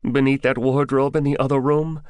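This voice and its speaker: A frightened young village storekeeper, as a man puts him on for an audiobook, his voice lifted well above the reader's own low register. He sounds anxious and pleading, with a bitter edge. The recording is dry and close. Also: level tone, moderate pace, a little mellow, smooth, no room sound, natural voice. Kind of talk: audiobook character voice.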